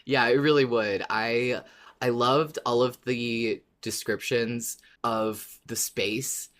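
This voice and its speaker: A man's voice: mid-range voice, fairly even intonation, slow, a bit bright. A man speaks as a podcast co-host in a mid-range voice. His delivery is conversational, suited to casual discussion and episode recaps.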